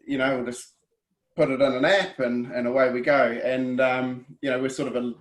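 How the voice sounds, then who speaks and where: A man with a New Zealand accent giving a casual webinar presentation over a video call, often pausing with ums and restarting his sentences. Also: mid-range voice, fairly even intonation, background noise. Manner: hesitant, many ums and restarts. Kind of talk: relaxed presentation.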